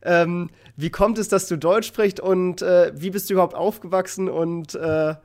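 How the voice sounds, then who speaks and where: A man's voice: high voice, steady tone, moderate pace. A man hosting a podcast interview, asking questions in a friendly way with frequent fillers. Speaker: podcast host. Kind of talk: casual interview.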